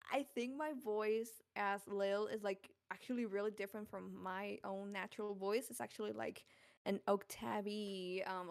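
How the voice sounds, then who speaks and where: A woman's voice: mid-range voice, dry recording. A woman with a mid-range voice chats casually. She rambles a little and giggles as she talks. The sound is dry and close. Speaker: voice actor. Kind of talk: casual chat.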